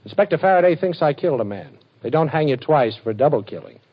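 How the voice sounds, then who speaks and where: Cool wry sleuth, 1940s radio drama, animated pitch, muffled vintage sound. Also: male voice, quick pace, dry recording. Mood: cool, threatening. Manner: wry, glib, tough-talking. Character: quick-witted wisecracking amateur sleuth, cool under threat. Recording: dull old radio broadcast, engine rumble behind.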